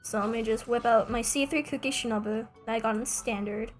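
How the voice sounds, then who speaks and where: A woman's voice: on the high side, steady tone, a little fast, soft background music. A young adult woman streaming a game, chatting casually in a rambling, self-mocking way with joking asides. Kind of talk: casual gameplay streaming chat.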